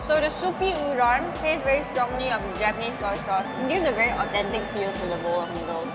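Young singaporean girl chatting about food, pretty high voice, music playing behind. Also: fast. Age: young adult. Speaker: food show host. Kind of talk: casual food review chat. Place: indoors at restaurant tables.

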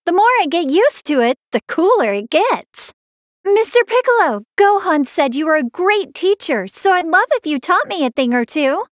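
A woman's voice: very high voice, big pitch swings, normal speed, no echo, studio quality. An American actress voicing a feisty, confident teenage girl martial artist with brash, emphatic delivery for an anime fighting game. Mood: confident, friendly.

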